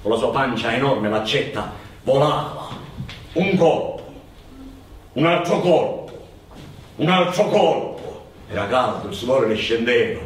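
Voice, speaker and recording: A man's voice: mid-range voice, animated. A man performing a theatrical narrative monologue as a storyteller, dramatic and given to insistent, building repetition. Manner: dramatic, building repetitions into drawn-out, chanted cries. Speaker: stage storyteller, actor. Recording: very echoey, distant microphone, noisy ambience.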